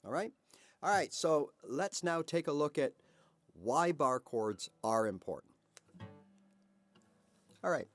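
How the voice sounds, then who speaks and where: An older man talks through a guitar lesson in a friendly, conversational way, his pitch rising and falling a lot as he poses questions to the viewer. The sound is dry and close, with almost no room echo around him. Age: 50s or older. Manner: chatty, encouraging, rhetorical questions, frequent "all right" and "right?". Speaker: guitar teacher. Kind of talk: casual video lesson to camera.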